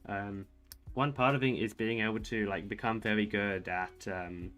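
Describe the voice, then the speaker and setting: A young man streaming chess and chatting with viewers, soft-spoken and rambling with lots of fillers and restarts. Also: fairly low pitch, fairly even intonation, moderate pace. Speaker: streamer reading chat. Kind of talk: casual livestream chat while playing chess. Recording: faint music behind the voice.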